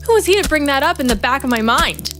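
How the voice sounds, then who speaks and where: An actress playing a grim, vengeful female private investigator, narrating a noir audio drama in a hardboiled, deliberate, brooding manner. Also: high voice, expressive, over music. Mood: bitter, angry.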